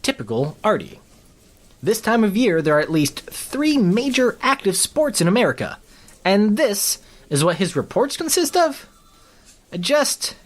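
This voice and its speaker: A man's voice: high voice, big pitch swings, unhurried, exaggerated voice. Pompous, swooping comedy news anchor played by a man. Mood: dismissive. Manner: pompous, sarcastic. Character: snide, self-important, flippant news anchor. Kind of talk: comedy newscast acting.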